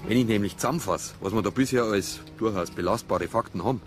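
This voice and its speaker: An actor playing a dry, persistent police detective with a Bavarian accent and a colloquial, matter-of-fact manner. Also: male voice, lowish voice, quick pace, music in the background, lightly acted. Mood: reasoning.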